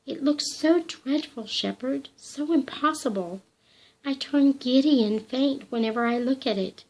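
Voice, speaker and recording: A woman's voice: high voice, measured pace, darkish tone, pitched above the performer's own voice, poor audio. A frightened young woman begs and trembles in a raised, breathless voice, now and then falling to a whisper. She sounds timid and close to tears, as a woman reading the story acts her out. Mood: fearful.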